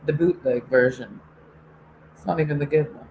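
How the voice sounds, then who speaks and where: Low voiced woman ranting into her mic, sarcastic, laughs a lot, cusses, bit of faint noise. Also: normal speed, warm tone, poor audio. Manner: sarcastic, laughs often, swears freely. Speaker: online show host. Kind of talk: casual ranting monologue.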